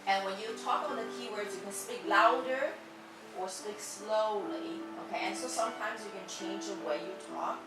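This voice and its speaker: A woman teacher giving classroom feedback in a fairly monotone voice, often checking "okay?" as she goes. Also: mid-range voice, moderate pace. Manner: ends many sentences with a checking "okay?". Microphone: far from the mic. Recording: echoey room, far off, loud music behind. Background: loud music.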